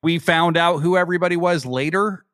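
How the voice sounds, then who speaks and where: A man co-hosting a podcast, plugging the show in a steady, matter-of-fact way with dry jokes. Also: fairly high pitch, a little fast, smooth, no echo. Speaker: podcast co-host. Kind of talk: casual chat, show plugs.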